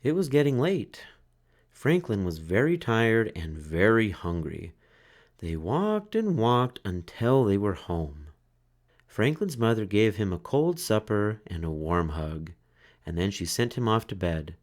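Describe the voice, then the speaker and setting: Slow, expressive male storybook narrator. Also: lowish voice. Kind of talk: children's read-aloud narration.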